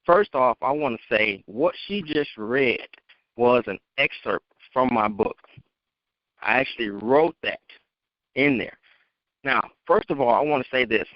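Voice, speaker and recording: A man with a medium-pitched voice speaks as a motivational coach, off the cuff and straight at the listener with firm emphasis. The sound is dry and slightly muffled, from a conference call. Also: lively intonation, a little slow, pauses often, no echo. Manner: emphatic, direct address to listeners. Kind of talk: unscripted motivational talk. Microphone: phone conference line.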